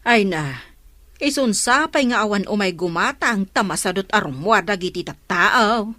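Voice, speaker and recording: A woman's voice: mid-range voice, expressive, moderate pace, bright, forceful tone, no room sound. A woman playing a nosy, concerned village neighbor in an Ilocano radio comedy drama. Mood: curious, concerned.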